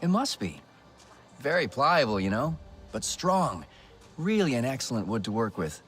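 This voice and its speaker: An actor playing a good-natured, talkative human builder in a video game, full of enthusiasm. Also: male voice, mid-range voice, moderate pace, faint music behind. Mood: enthusiastic. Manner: talkative, animated. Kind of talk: video game dialogue acting.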